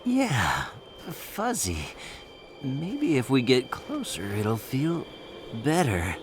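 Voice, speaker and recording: A man's voice: mid-range voice, wildly swooping pitch, very slow, background noise, heavily acted. A man playing an eager, scheming martial artist sidekick in a video game cutscene. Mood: dreamy.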